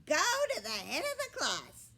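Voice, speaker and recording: A woman voicing a brash, sharp-tongued storybook bird, squawky and loud, with a snappy, singsong delivery. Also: on the high side, huge pitch swings, moderate pace, vocal fry, husky, voice pushed up, heavily acted. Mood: bossy. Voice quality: squawky, cackling.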